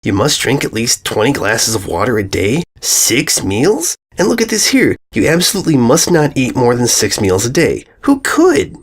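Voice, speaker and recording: A man's voice: mid-range voice, wildly swooping pitch, normal speed, gravelly, few pauses, husky, heavily acted. A man voicing a brash, teasing young female pony with a blunt, pushy manner. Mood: incredulous.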